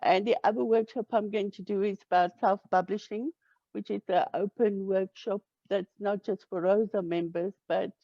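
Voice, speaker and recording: A South African woman whose first language is Afrikaans, talking hesitantly with many pauses and fillers as an interview guest. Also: mid-range voice, fairly even intonation, no echo. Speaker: romance author guest. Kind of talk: casual interview. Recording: dull lo-fi audio.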